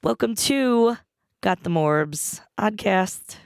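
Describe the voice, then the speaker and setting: Hoarse froggy-voiced woman podcast host. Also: mid-range voice, animated, slow, no room sound, clean studio sound. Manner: chatty, laughs often. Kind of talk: casual chat.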